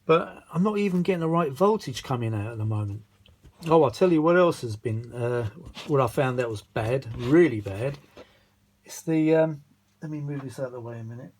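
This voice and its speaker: A man's voice: medium pitch, expressive, moderate pace, darkish tone. A tired man with a British accent giving a casual, rambling, unscripted repair commentary, muttering and grumbling with mild swearing as he searches for words. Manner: grumbling, mildly sweary, exasperated. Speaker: vintage audio repair vlogger.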